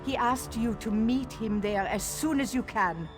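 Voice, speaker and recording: An actress playing an earnest, urgent messenger in a fantasy video game. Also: female voice, a little high, unhurried, natural voice. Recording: music behind the voice.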